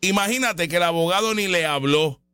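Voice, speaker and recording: Brief: Puerto Rican male commentator, high, bright voice, excitable and mocking, dry close mic. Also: fairly quick, smooth, no room sound. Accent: Puerto Rican Spanish. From Puerto Rico. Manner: excitable, mocking, repeats himself. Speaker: online commentator reacting to a trial stream. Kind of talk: casual live commentary.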